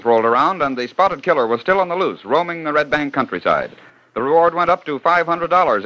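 Bright-voiced 1940s radio host. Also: male voice, on the high side. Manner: genial, showman-like storytelling. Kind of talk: scripted 1940s radio narration. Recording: dull old radio transcription.

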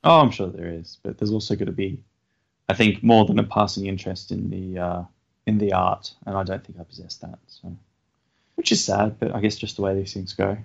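A man co-hosting a casual podcast, talking hesitantly with many fillers and a self-deprecating manner, feeling low on energy. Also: fairly even intonation, brisk, gravelly, slightly rough. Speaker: podcast co-host. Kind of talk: casual chat. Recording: dull lo-fi sound.

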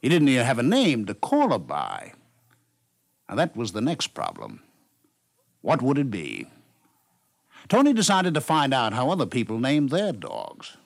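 A man narrating a scripted story for 1950s radio, with measured phrasing and dramatic pauses. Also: medium pitch, huge pitch swings, gravelly, dry recording, clean studio sound. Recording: noisy 1950s radio broadcast recording.

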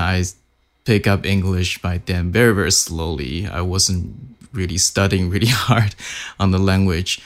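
Very deep-voiced man with a Mandarin accent. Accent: Mandarin Chinese. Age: 40s. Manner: slow, hesitant, many "like" fillers. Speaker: interviewer. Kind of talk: casual interview.

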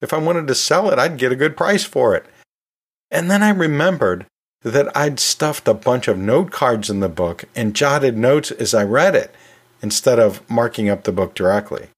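A man's voice: medium pitch, expressive, normal speed, husky. A man hosting a podcast in a conversational, storytelling way, chatting informally with asides like a teacher talking to listeners. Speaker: podcast host; anatomy and physiology teacher.

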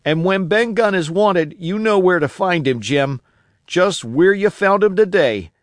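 Man voicing an old marooned sailor, eager, cunning, rustic dialect, high bouncy voice, dry sound. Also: lively intonation, a little slow, no echo. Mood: insistent. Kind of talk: audiobook character voice.

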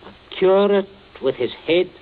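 A middle-aged patriarch of 1940s radio drama, nervously scheming behind a jovial front. His fussy, stammering speech swings widely in pitch. The recording is thin and tinny, with light hiss. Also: expressive, unhurried. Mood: brooding, calculating. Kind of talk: 1940s radio thriller acting.

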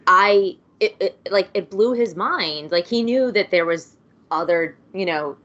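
A woman's voice: medium pitch, animated, measured pace, fairly bright tone. A woman chatting casually about a book, rambling through asides and many fillers. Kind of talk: casual chat. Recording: dull lo-fi sound.

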